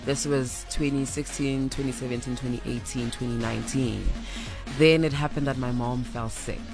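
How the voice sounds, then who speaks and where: A young South African woman with a deep voice, chatting playfully as a radio guest and slipping in Zulu phrases. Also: fairly even intonation, moderate pace, over music. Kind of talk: casual interview chat.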